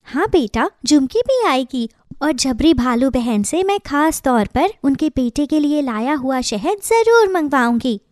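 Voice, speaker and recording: A loving mother bird from a children's cartoon, played by a woman. Her voice is very high and swings expressively. She speaks with earnest affection in a close, dry sound. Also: sing-song, unhurried, few pauses, a little mellow, no echo. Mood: cheerful, earnest. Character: loving, gentle mother bird, warm and welcoming. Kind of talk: Hindi children's story cartoon dialogue.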